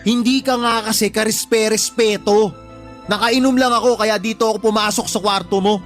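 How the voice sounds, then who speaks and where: A man acts out a young bully of the house in a radio drama, his voice pitched high. He is drunk, defiant and menacing, sneering insults and shifting blame, with music behind him. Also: steady tone, normal speed, natural voice. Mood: sneering, irritated. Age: young adult. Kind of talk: Tagalog radio drama acting.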